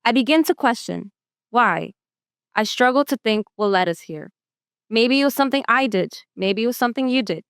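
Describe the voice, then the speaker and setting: Young woman, smooth clear voice, fairly high, reflective measured poetry reading. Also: no echo, studio quality. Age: young adult. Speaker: student poet.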